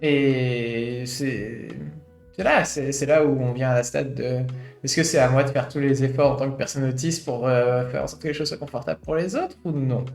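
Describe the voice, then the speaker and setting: A man's voice: mid-range voice, steady tone, moderate pace, vocal fry, darkish tone. A man in his late twenties streaming, reading a study aloud and adding his own reflective commentary full of fillers. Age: late 20s. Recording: roomy echo with faint guitar music.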